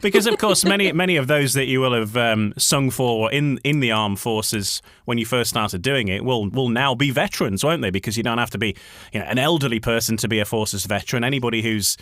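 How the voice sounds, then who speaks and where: A man's voice: mid-range voice, brisk, fairly bright tone, dry recording, studio quality. Hesitant man hosting veterans radio. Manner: hesitant, many fillers and restarts. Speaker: radio host. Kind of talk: radio interview chat.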